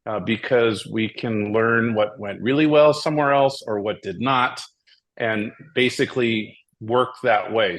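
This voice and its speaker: A man from Hawaii, a tournament director guest, telling his story in a steady, methodical way. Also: mid-range voice. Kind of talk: casual interview.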